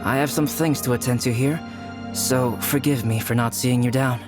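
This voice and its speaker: A thoughtful, reserved young alchemist from a game, played by a man tells his story in a calm, measured, soft-spoken voice at an ordinary pitch. Faint game music plays beneath him. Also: natural voice.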